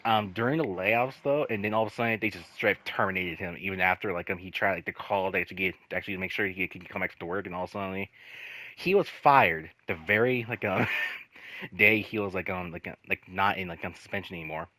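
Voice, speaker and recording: A man telling casual stories in a rambling, halting way, swearing freely and leaning on repeated filler phrases. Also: low-pitched, bright, forceful tone, dry recording, poor audio. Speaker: host telling personal work stories. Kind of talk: casual storytelling chat.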